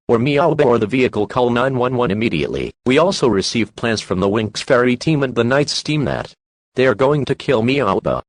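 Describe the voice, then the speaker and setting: A man's voice: medium pitch, dry recording, natural voice. A man playing a formal, impersonal emergency broadcast announcer reading a scripted alert.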